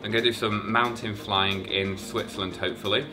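Quick, low-voiced young man. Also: fairly even intonation, over music. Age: young adult. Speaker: vlogger and pilot. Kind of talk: casual vlog chat and radio calls.

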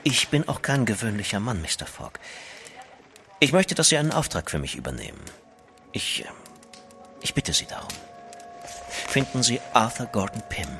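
An actor in a German audio drama playing a driven gentleman writer, dramatic and insistent, sometimes hushed. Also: medium pitch, sing-song, normal speed, long pauses, over music. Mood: urgent, polite. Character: intense, driven gentleman writer pleading for help.

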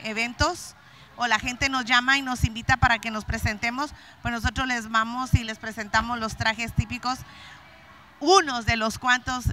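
Nervous, bright-voiced Guatemalan woman. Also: a little high, slight background noise. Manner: nervous, halting with fillers and repeats. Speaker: craft vendor. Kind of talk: interview. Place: indoor event hall. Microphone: handheld mic.

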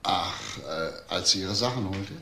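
An actor playing a methodical police detective, asking persistent, measured questions in a crime radio play. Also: male voice, low-pitched, gravelly, pushed and bright, full character voice. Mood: measured, probing. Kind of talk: German crime radio drama acting. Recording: faint background noise.

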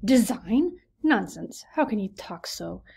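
A woman reading aloud, voicing a fussy, excitable middle-aged mother who exclaims and insists in a raised voice. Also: mid-range voice, takes it slowly, vocal fry, husky, exaggerated voice. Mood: insistent.

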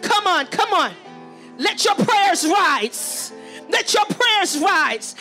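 A woman's voice: extremely high pitch, sing-song, slow pace, pushed and bright, faint music behind. A woman preacher leading fervent charismatic prayer, urgent and pleading, exhorting with repeated phrases.

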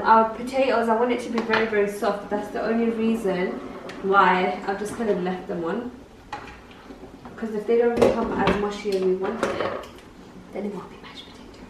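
A woman's voice: medium pitch, noisy background. A young woman, chatting casually and explaining steps as she cooks in her home kitchen. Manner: chatty, instructive, playful. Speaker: vlogger cooking. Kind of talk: casual cooking vlog. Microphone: camera mic from across the kitchen. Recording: roomy kitchen echo, distant camera, sizzling pan. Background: frying and stirring sounds.

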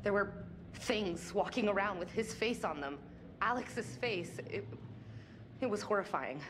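An actress playing a frightened, outspoken young woman, blunt and halting, in naturalistic horror game dialogue. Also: mid-range voice, animated, noisy background, close to an everyday voice. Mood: shaken. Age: young adult.

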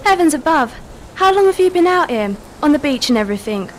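Actress as fretful, fussing female carer for radio drama; high voice, breathless and anxious, faint ambient noise. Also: sing-song, a little fast. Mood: alarmed.

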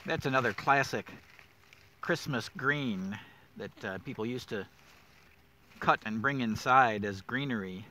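Middle-aged male nature host, eager, chatty, mid pitch, light outdoor ambience. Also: unhurried, pauses often, bright, forceful tone, a little hiss or hum. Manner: enthusiastic, thinks aloud with fillers. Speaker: woodland steward host. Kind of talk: casual nature walk guide. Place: winter woods outdoors.

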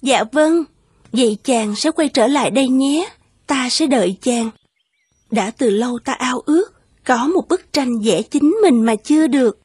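Casting: devoted, yearning young lady for animated folktale, high voice, gentle deferential delivery. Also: unhurried, slightly rough, no echo, clean studio sound, full character voice. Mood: gentle, attentive. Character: gentle, yearning young Japanese woman in love.

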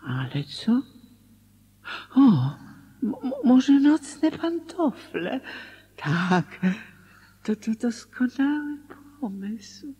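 Husky, halting, miserly moralist. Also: male voice, very high voice, very animated, mellow, in a large hall, heavily acted. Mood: fretful, guilty. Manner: stammering, halting, muttering. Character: timid, miserly, guilt-ridden moralist fretting over amends. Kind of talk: Polish radio drama monologue.

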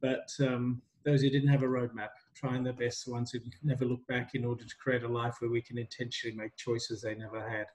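A middle-aged man teaching a university workshop over a video call on a headset, relaxed and hesitant with many ums. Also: medium pitch, fairly quick, few pauses, rough recording. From migrant to Australia. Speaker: university tutor.

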